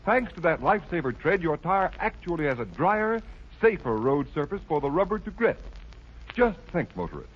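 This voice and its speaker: An announcer, a man, delivering an enthusiastic, declamatory sales pitch in a 1930s radio advertisement. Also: big pitch swings, moderate pace, a little hiss or hum. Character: enthusiastic, sincere male sponsor announcer selling tires. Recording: muffled vintage radio broadcast.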